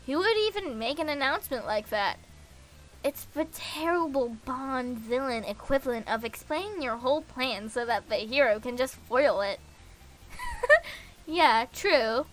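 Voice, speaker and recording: A woman's voice: high voice, pushed and bright. A woman streaming a game, reading visual novel dialogue aloud in a casual, playful way.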